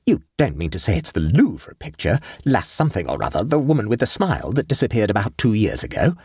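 A man's voice: fairly low pitch, very animated, a little fast, darkish tone, slightly rough, no room sound, studio quality, voice pushed up, exaggerated voice. A man reading an audiobook and voicing a severe, pompous middle-aged aunt who lectures sternly.

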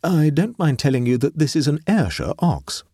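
A man voicing a mild gentleman artist in a storybook reading, precise, dry and politely stubborn. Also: fairly high pitch, very animated, moderate pace, slightly rough, no room sound, pitched above the performer's own voice, heavily acted. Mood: frank, composed. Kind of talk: storybook character voice.

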